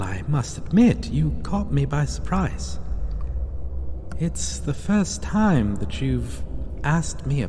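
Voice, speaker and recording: A man voicing a possessive, centuries-old male vampire who keeps a captive, talking softly and controllingly, sometimes in whispers, in an ASMR roleplay. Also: a little high, big-room sound, slight background noise, heavily acted. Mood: reflective. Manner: soft, doting and controlling, drops into whispers.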